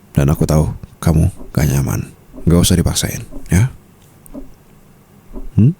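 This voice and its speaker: A man playing a possessive, doting mafia boss husband in an intimate ASMR roleplay, teasing with endearments in a breathy, gravelly voice. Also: a little mellow, a little hiss or hum, exaggerated voice. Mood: tender, assured. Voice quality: very deep, gravelly. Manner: slow teasing endearments, often slipping into breathy whispers. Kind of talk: ASMR boyfriend roleplay, intimate scripted monologue.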